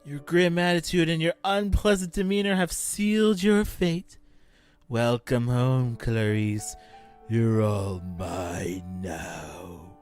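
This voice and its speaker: A man playing a sadistic, gleeful spirit in a fan audio drama, taunting theatrically with drawn-out mockery. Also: mid-range voice, darkish tone, close to an everyday voice. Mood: gloating. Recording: music under the voice.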